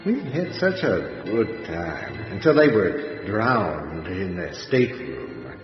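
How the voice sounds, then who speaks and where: An actor playing a gleefully macabre undead horror host for a radio series, with theatrical, mock-genteel delivery. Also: male voice, low-pitched, big pitch swings, slow, heavily acted. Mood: macabre glee. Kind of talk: old-time radio horror hosting. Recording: dull sound, long echoing reverb. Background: music.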